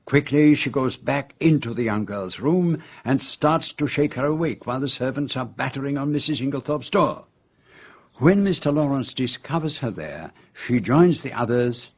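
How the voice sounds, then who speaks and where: A methodical detective in a radio mystery, patient and theatrical. His voice is slightly husky, and he lays out his case deliberately with dramatic emphasis. The recording is a little dull and muffled. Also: medium pitch.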